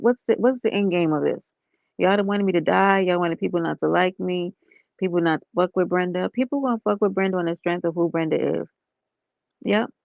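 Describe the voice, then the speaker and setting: A woman, slightly hoarse, talking softly and casually to viewers on a live stream from bed. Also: lowish voice, no echo, highs cut off. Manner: soft-spoken, talks straight to viewers as y'all and honey.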